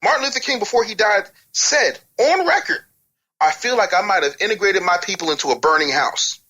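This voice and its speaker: A man's voice: sits high, animated, brisk, pushed and bright. A man speaking bluntly and emphatically in an opinionated podcast discussion, repeating phrases to drive points home.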